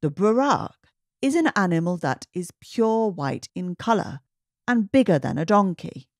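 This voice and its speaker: A woman reading a children's story aloud in an expressive, emphatic narrating style. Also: medium pitch, unhurried, no echo, studio quality. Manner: animated, emphatic, clearly paced delivery. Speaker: children's story reader and host.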